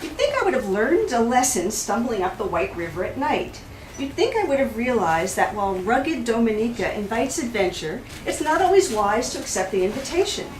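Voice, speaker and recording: Higher-voiced woman reading in an echoey room. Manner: animated storytelling with wry humor and emphatic pauses. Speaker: author reading from their book. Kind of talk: expressive live reading of a travel memoir. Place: indoors in a store at a live event. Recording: roomy, distant mic with faint background noise.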